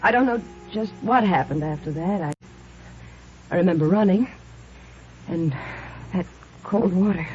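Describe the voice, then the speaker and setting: An actress playing a frightened, high-strung woman in 1950s radio drama style, agitated and stammering. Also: measured pace, long pauses, close to an everyday voice. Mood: panicked, distraught. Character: frightened, high-strung woman tormented by mysterious phone calls. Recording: dull, noisy old radio recording.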